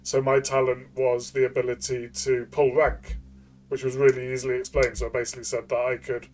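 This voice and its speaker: A man's voice: medium pitch, completely flat delivery, fairly quick, darkish tone. A man co-hosting a casual review discussion, thinking aloud and rephrasing himself as he goes. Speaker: podcast co-host and game reviewer.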